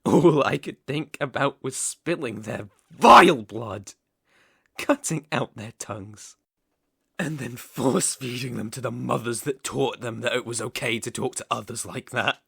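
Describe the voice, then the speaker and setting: A man's voice: medium pitch, vocal fry, a bit bright, slightly rough, no room sound, studio quality, heavily acted. A man playing an obsessive, sinister young villain king in an ASMR roleplay, speaking theatrically and sometimes dropping to a whisper. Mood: enraged. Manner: sinister, theatrical, slow drawn-out phrasing with whispers.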